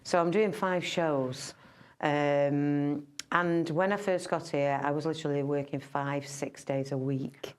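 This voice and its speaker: A middle-aged woman entertainer talks casually about her work, rambling with fillers and restarts. Her voice sits very low with little pitch movement. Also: fairly even intonation, unhurried, clear, resonant tone. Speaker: entertainer and singer. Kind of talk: casual interview chat.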